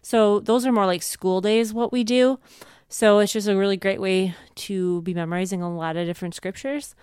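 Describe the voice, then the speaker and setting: A woman's voice: medium pitch, fairly even intonation, moderate pace, a little mellow, clean tone, no echo, clean studio sound. A woman in her thirties chatting earnestly and casually as a co-host and mother, sharing advice in a conversational way.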